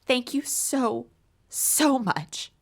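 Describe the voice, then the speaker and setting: Pleading, high-voiced young neighbor with creak. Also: female voice, lively intonation, very slow, heavily acted. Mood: grateful. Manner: pleading, emotive. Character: distressed, pleading next-door neighbor woman, around thirty. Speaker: actor. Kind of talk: audio drama acting.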